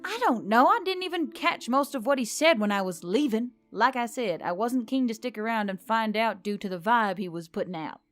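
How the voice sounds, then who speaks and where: A woman voicing a nervous, sharp-tongued young woman who talks in a casual, emphatic, slangy way in an audiobook story, with music behind. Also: on the high side, fairly quick, few pauses, close to an everyday voice. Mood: defensive. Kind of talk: audiobook character dialogue.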